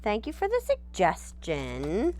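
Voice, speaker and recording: A woman voicing a hungry, picky male chupacabra in a storybook, theatrical, drawn-out and mock-polite. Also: medium pitch, huge pitch swings, darkish tone, pitched above the performer's own voice, heavily acted. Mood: picky, teasing.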